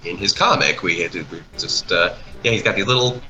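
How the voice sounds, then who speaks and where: A man's voice: sits low, fairly quick, pushed and bright, low-quality recording. A man giving casual, unscripted commentary, often trailing off and rephrasing mid-thought. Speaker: animation project creator co-hosting a speedpaint commentary. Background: background music.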